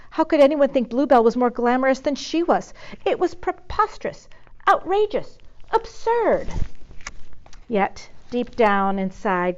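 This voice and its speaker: A woman narrating a children's story expressively, pausing dramatically on key words. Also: mid-range voice, a little mellow, lo-fi sound. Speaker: storybook reader.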